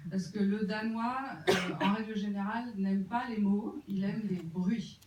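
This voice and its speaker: An interpreter translating a film Q&A, halting and full of fillers, recorded from afar in a cinema. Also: mid-range voice, fairly even intonation, a little mellow, slight background noise. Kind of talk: consecutive interpreting. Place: cinema auditorium. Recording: muffled, echoey hall from far off.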